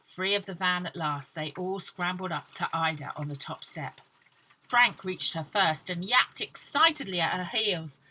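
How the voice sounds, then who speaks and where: Bright, sharp-voiced woman reading a story. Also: on the low side, unhurried, slight background noise, rough recording. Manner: dramatic, animated storytelling. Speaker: author reading own story. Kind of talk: expressive scripted narration of a children's novel.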